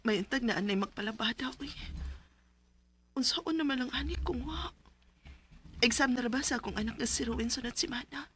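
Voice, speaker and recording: A woman's voice: a little high, a little fast, long pauses, a bit bright, natural voice. A woman with a Cebuano Filipino accent playing a weary but determined widowed mother in a radio drama, plaintive and emotional. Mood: worried. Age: 30s.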